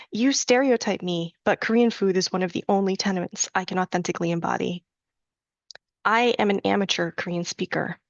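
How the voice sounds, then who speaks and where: Woman poet, deliberate rhythmic recitation, dull lo-fi sound, dry close acoustics. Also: no room sound. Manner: slows and chants the Latin and prayer lines; soft, low-key asides between poems. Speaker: poet reading her own work. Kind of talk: live poetry reading.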